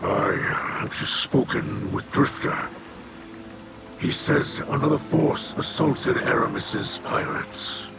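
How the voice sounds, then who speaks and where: A solemn alien leader, speaks slowly in a mid-range voice. His delivery is grave, formal and halting, a burdened video game figure with music behind him. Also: mid-range voice, lively intonation. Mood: troubled. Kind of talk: video game cutscene acting.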